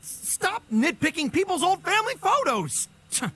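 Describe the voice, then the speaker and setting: A woman's voice: extremely high pitch, big pitch swings, normal speed, pushed and bright, heavily acted. An actress playing an indignant, scolding young woman in a video game. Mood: exasperated.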